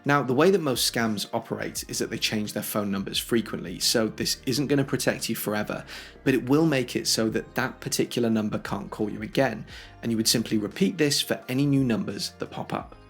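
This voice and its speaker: A man's voice: medium pitch, a little fast, faint music behind. A man hosting a tech tutorial, explaining things step by step in a conversational way. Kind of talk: instructional how-to narration for video.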